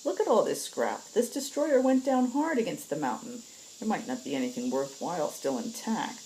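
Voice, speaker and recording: A woman's voice: deep voice, very animated, normal speed, warm tone, a little hiss or hum, pitched above the performer's own voice, rough recording. A woman voicing an anxious, defensive female scout in a space opera story, exclaiming with nervous disbelief, insects faintly behind. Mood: doubtful.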